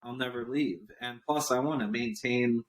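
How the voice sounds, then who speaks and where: A man's voice: mid-range voice, moderate pace. A man in his forties talking casually as an interview guest, emphatic and repeating his points for effect, with an occasional cough. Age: 40s. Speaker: podcast guest, entrepreneur.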